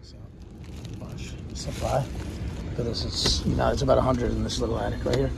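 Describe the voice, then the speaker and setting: A man's voice: medium pitch, flat delivery, fairly quick, creaky. A man working as a repair technician, narrating his job under his breath in a matter-of-fact way, often half-whispering. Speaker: HVAC technician. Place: outdoors at a house and in a hot attic. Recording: loud outdoor background noise.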